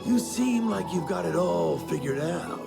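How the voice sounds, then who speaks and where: A man acting as a zealous cult disciple and former spearman in a video game, with a gravelly voice and deliberate, solemn, theatrical delivery. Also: sits high, expressive, heavily acted. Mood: fervent, reverent. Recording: loud music under the voice.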